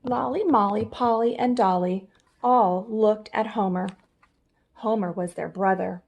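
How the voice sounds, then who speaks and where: Slow, creaky woman reading a children's story. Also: mid-range voice, lo-fi sound, slight background noise. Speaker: storybook reader. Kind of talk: scripted children's story narration.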